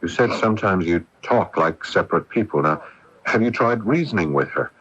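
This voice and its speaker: An actor playing an earnest, concerned sculptor in a radio mystery drama, reasoning hesitantly with a slight stammer. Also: male voice, sits low, lively intonation, normal speed, fairly bright tone, breathy edge, heavily acted. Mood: urgent reassurance. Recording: muffled, noisy old AM radio broadcast.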